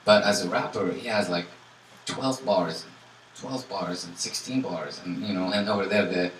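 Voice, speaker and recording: A man from Tunisia, a guest musician answering audience questions in a relaxed way, full of "you know" and fillers. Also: low-pitched, normal speed. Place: indoor salon event. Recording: echoey room from far off, faint noise.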